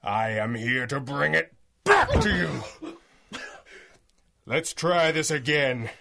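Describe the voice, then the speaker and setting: A forceful, grandiose masked vigilante. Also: male voice, medium pitch, sing-song, slow, vocal fry, full character voice. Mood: stern, threatening. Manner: grandiose, taunting, articulate. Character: masked male vigilante hero, theatrical, menacing, righteous. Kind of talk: 1930s-style superhero radio drama acting.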